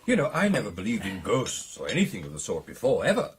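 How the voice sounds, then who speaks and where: An actor with a British accent playing a genial, earnest gentleman clubman in a radio drama, speaking in old-fashioned upper-class phrases. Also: lowish voice, huge pitch swings, quick pace, breathy edge, full character voice. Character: genial, earnest English gentleman clubman telling a ghost tale.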